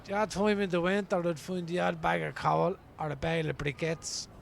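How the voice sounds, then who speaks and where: An actor in a radio play playing a weary, wry homeless man from Dublin, rambling colloquially in a gravelly voice. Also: fairly high pitch, level tone, normal speed, a little hiss or hum. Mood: wistful. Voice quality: gravelly, creaky. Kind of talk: radio play acting as an interviewee.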